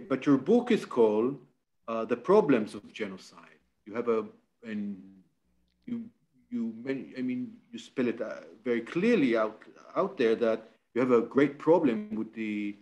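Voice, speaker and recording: A man's voice: medium pitch, darkish tone. Hesitant male academic interviewer. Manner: hesitant, searching for words, polite questioning. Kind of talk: academic conversation.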